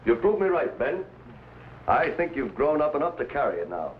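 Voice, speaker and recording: An actor playing an earnest, proud landowner and boss in an old adventure drama, speaking with emphatic authority. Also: male voice, medium pitch, sing-song, moderate pace, close to an everyday voice. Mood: fatherly, firm. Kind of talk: vintage adventure drama acting. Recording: dull, noisy vintage drama soundtrack.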